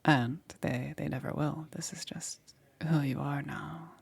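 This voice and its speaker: A woman voicing a caring, gently persuasive witch in a hushed, breathy ASMR roleplay. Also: sits low, fairly even intonation, a little slow, heavily acted. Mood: coaxing, knowing. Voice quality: breathy, whispery. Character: soft, coaxing witch, a caring self-confessed monster.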